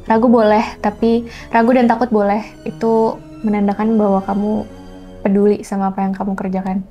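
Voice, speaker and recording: A woman speaks in a mellow, mid-pitched voice. She chats casually and expressively as a singer-songwriter guest, with colloquial interjections, over faint music. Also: level tone, fairly quick, muffled recording. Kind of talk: casual chat.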